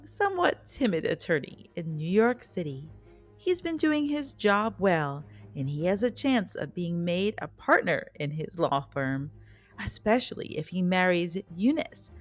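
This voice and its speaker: A woman reading scripted documentary narration as the host of the programme. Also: fairly low pitch. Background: soft background music.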